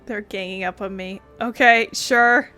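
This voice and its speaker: A woman's voice: mid-range voice, fairly even intonation, a little slow, pushed and bright. A woman giving casual, chatty let's play commentary as host of a gaming video. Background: faint game music.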